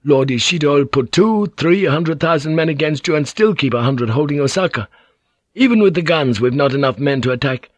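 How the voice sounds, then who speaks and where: A man's voice: fairly high pitch, lively intonation, normal speed, dry recording, pitched above the performer's own voice. A man voicing a weathered one-eyed samurai soldier who speaks plainly and bluntly.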